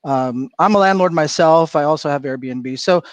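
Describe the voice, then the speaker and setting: A middle-aged man from Florida tells stories as a livestream guest. He laughs a lot and keeps the chat lively. His voice sits fairly high, and the call audio is dry. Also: moderate pace, no room sound. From Florida, USA. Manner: animated storytelling, laughs often. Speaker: guest speaker. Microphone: video call.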